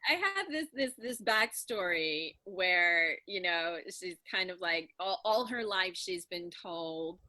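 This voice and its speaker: A woman's voice: fairly low pitch, expressive, slow pace, hardly any pauses, bright, forceful tone, no echo. A woman talking casually on a video call, rambling informally and repeating words as she works out ideas. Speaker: voice actor in a serialized audio drama.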